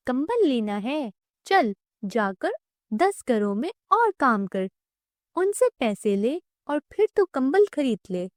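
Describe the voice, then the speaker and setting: A woman's voice: high voice, big pitch swings, takes it slowly, no room sound, studio quality, heavily acted. A woman voicing a harsh, stingy female employer in a cartoon moral story, dismissive and scolding. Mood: scornful.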